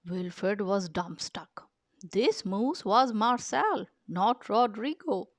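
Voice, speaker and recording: Female storybook narrator, unhurried and expressive, some creak in the voice, close dry sound. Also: mid-range voice, dry recording. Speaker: children's picture book reader. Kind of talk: storybook read-aloud narration.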